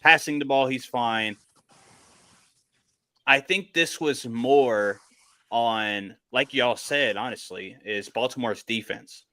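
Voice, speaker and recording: This is a man heading up a casual football panel. His voice is bright and forward, recorded dry with hardly any room around it. He argues emphatically, circling back on his points as he thinks them through. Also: medium pitch, slow, no echo. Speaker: panelist leading a sports debate. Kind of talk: casual sports debate.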